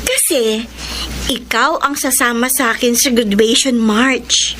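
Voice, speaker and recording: High, slow-speaking earnest young Filipina. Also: female voice, steady tone, background noise. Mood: gently insistent. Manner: heartfelt, deliberate delivery. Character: grateful young woman graduate, tender and earnest. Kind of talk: Tagalog radio drama acting. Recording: music under the voice.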